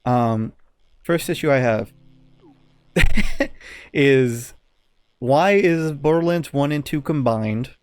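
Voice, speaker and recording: A man's voice: medium pitch, slow pace, long pauses, clear, resonant tone, no room sound. A man co-hosting a casual podcast, giving blunt opinions with some swearing and often searching for words. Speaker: podcast co-host.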